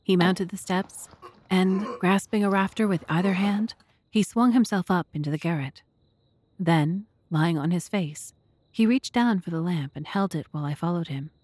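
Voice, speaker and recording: A woman playing the measured storyteller of a detective mystery in an audio drama, narrating. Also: on the low side, a little mellow, close to an everyday voice. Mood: measured, descriptive. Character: measured storyteller recounting a detective's investigation as companion.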